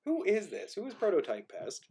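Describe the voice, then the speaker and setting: A man hosting an online live stream in casual chat, thinking aloud in a halting way as he goes. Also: high voice, wildly swooping pitch, vocal fry, dark tone, a little hiss or hum. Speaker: host of an online live-streamed play reading. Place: remote group video stream.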